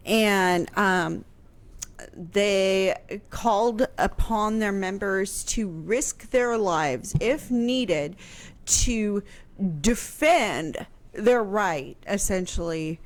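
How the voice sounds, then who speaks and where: A woman from Kansas explaining history on a radio talk show, speaking carefully and pausing often on fillers. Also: mid-range voice. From Kansas, USA. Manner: slow, careful, halting with fillers.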